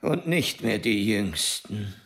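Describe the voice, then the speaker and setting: An actor playing an imperious, grumpy old gentleman scholar in a radio drama, blunt, dry and insistent. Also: lowish voice, big pitch swings, takes it slowly, full character voice. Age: older. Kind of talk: German radio drama acting.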